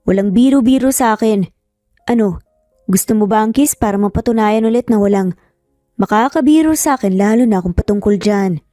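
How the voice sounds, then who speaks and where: A woman's voice: mid-range voice, brisk, mellow, no echo, clean studio sound, close to an everyday voice. A Filipino woman voicing a bossy, playful young man in love, in a teasing, commanding way. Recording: music behind the voice.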